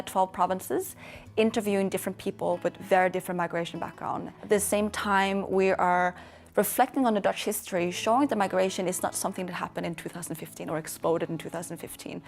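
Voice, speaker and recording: A young woman from western Norway speaks English with a Norwegian accent, earnest and measured, in a reflective interview. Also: medium pitch, a little mellow. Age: young adult. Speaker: founder of a storytelling organisation, interviewee. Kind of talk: reflective interview monologue to camera. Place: dark indoor studio.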